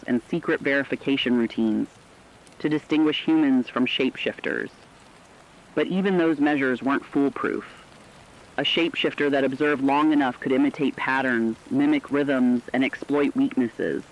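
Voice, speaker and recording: A man delivering scripted apocalyptic horror narration in a grave, measured storytelling manner. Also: mid-range voice, highs cut off.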